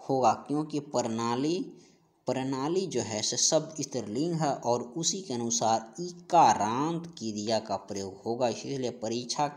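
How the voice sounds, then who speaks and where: A man speaks as an exam-prep teacher. He poses questions and answers them himself. His delivery is explanatory and repetitive, restating key points for emphasis. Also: mid-range voice. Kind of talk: question-and-answer grammar lesson.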